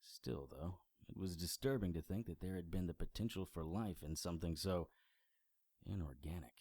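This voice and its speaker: A wry male investigator tells his case like a noir audio drama. His voice is low and dark, recorded dry, with a deadpan delivery that stays unimpressed by the strange. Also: moderate pace, dry recording. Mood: grim, wry. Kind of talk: first-person detective audio drama narration.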